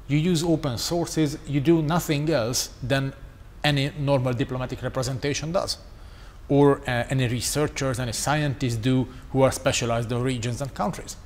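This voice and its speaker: A man whose first language is Hungarian, speaking English on a conference panel in a measured, analytical way. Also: medium pitch, steady tone. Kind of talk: panel discussion. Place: conference hall. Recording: reverberant hall with faint noise.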